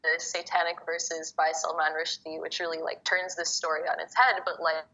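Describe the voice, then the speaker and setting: A young woman from Egypt, a poet guest, talking casually and quickly with lots of "like" fillers. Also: low-pitched, little pitch movement, bright, forceful tone. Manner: fast, chatty, many fillers like "like". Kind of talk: casual conversation. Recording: muffled phone-like call audio.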